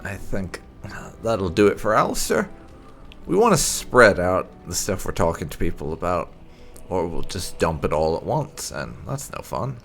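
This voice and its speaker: A man with a lowish voice and a heavy creak gives casual commentary over a video game. His delivery is lively in pitch and wryly sarcastic, as a gaming host playing along in character. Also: moderate pace. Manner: wry, sarcastic. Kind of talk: casual playthrough commentary with light roleplay. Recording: game music behind. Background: game music.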